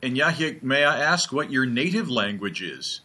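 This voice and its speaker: An older man hosting, asking slow, clear, encouraging questions over a video call. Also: mid-range voice, big pitch swings, bright, forceful tone. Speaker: host. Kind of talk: friendly interview questions.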